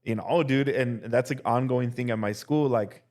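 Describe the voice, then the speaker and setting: A young male teacher telling casual stories as a podcast guest, relaxed and full of "like" and "dude". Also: mid-range voice, normal speed. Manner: relaxed, heavy use of "like" and "dude". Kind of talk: casual storytelling chat.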